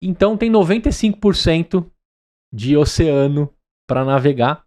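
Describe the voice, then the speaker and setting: A man's voice: on the high side, animated, normal speed, a little mellow. A man from Brazil with a São Paulo accent, a podcast host asking long chatty questions, often ending with a tag question. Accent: São Paulo Portuguese. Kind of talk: conversational interview.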